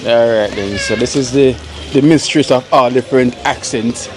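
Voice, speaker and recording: Jamaican male vlog host, relaxed outdoor chat, middle pitch, laughs a lot. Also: normal speed, noisy background. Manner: easygoing, laughs often, hands out donations. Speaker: vlogger host. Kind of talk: casual street vlog chat.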